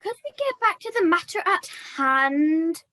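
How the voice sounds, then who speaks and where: Young woman playing haughty high-voiced princess puppet. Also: sing-song, a little slow, bright, forceful tone, exaggerated voice. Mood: indignant. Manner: pompous, formal, articulate. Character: haughty, bossy princess puppet proposing a split. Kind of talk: scripted puppet comedy dialogue.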